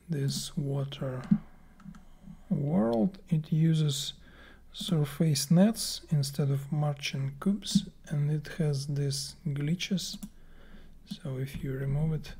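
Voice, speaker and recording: Guy doing a screen tutorial, slow and halting, soft husky voice that drops to whispers. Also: fairly high pitch. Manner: slow, halting, thinking aloud with many fillers. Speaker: game plugin developer. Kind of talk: screen-recorded software tutorial.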